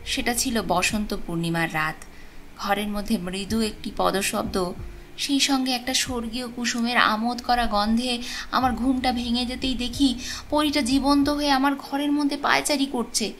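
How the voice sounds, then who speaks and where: A woman narrating a supernatural tale as a storyteller, with expressive, deliberate phrasing. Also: fairly high pitch. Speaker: storyteller, channel host. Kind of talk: dramatic audiobook narration of a ghost story. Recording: clear background noise and ambience.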